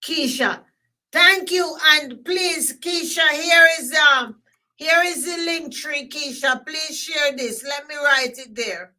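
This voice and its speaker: A woman with a Jamaican accent hosting a live stream, talking loudly and emphatically and repeating herself as she signs off. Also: high-pitched, takes it slowly. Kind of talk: casual live stream sign-off.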